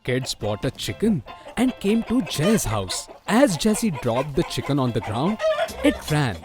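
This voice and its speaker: A man's voice: a little high, big pitch swings, a little slow, loud music behind the voice. A man narrating a scripted children's story.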